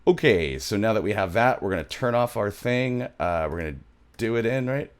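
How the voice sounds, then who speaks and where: A middle-aged man presenting a music software tutorial in a casual, loose, thinking-aloud way, recorded on a studio mic in a small home room. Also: fairly low pitch, lively intonation, moderate pace, clear, resonant tone. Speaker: music production tutorial host.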